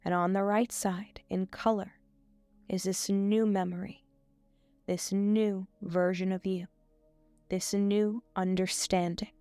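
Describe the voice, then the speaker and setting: Woman, guided meditation, steady narrow intonation, dry close recording, measured pauses, soothing. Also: mid-range voice, clean tone, dry recording, soft background music. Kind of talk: scripted guided meditation, hypnotic suggestion.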